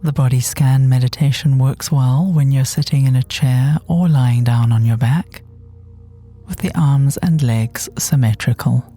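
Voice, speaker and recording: A woman leads a guided relaxation in a gentle, mellow voice. She is breathy and often slips toward a whisper. Her delivery is soothing and very unhurried, leaving long pauses between phrases. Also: a bass voice, steady tone. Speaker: guided meditation teacher. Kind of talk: guided body scan meditation. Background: soft meditation music.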